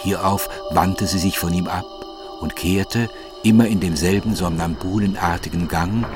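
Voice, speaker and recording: A man giving a measured, solemn scripted narration of a literary audiobook. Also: low-pitched, fairly even intonation. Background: music.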